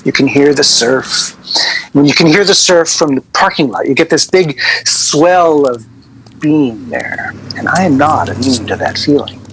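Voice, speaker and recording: An actor playing a wry, exasperated record producer telling rambling anecdotes in a radio drama. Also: male voice, fairly high pitch, expressive, measured pace, fairly bright tone, husky, exaggerated voice, rough recording. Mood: wry reminiscing. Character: wry, exasperated male music producer reminiscing about eccentric artists. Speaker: record label producer being interviewed. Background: music.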